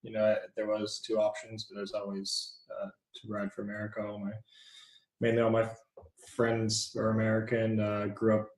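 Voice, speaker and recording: Flat-toned relaxed young man. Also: on the low side. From Southern California, born in New Zealand. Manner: relaxed, many ums and yeahs. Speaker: guest, speedway rider. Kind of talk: casual interview chat. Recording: roomy echo.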